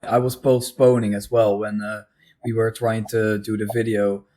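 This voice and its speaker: A man's voice: on the low side, flat delivery, normal speed, mellow. A man in a casual unscripted online chat, speaking hesitantly and repeating his words as he goes. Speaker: video co-host.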